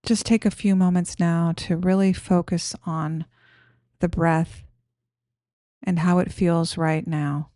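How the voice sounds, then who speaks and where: Slow, mellow woman guiding meditation. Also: fairly low pitch, level tone, dry recording, clean studio sound. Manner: gentle, soothing, unhurried. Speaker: meditation guide. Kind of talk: guided meditation instruction.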